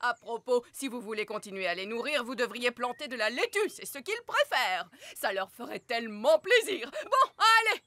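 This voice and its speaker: A woman's voice: on the high side, sing-song, moderate pace, hardly any pauses, bright, forceful tone. A woman voicing a chirpy, doting lady with a gushing manner in a children's cartoon. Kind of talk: animated children's cartoon dialogue.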